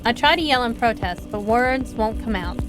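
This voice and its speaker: Higher-voiced woman streamer over game music. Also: expressive, moderate pace. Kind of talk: live game stream, reading visual novel lines aloud.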